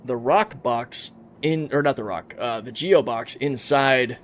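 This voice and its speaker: A man's voice: medium pitch, unhurried. A man in his thirties chatting casually on a game livestream, reading out and answering chat comments as he plays. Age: 30s. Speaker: streamer.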